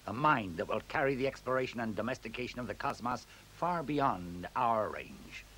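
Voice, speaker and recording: An actor playing a resolute, intellectual male scientist in a 1970s radio play, deliberate and authoritative. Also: mid-range voice, animated, a bit bright, close to an everyday voice. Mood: grave, reflective. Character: grave, resolute, intellectual scientist taking charge. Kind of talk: 1970s radio drama acting. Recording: hissy 1970s radio recording.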